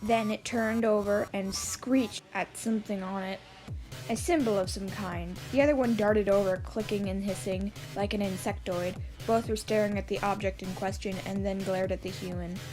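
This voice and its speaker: A woman's voice: medium pitch, steady tone, moderate pace, a little mellow, faint music behind. A woman reading scripted fan fiction as the narrator of an audio story. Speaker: podfic narrator.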